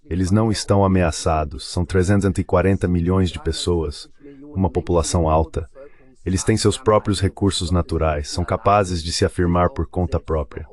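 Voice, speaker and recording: A man speaking as the translated voice of a panel guest, giving measured interview commentary on politics. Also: low-pitched, steady tone, darkish tone.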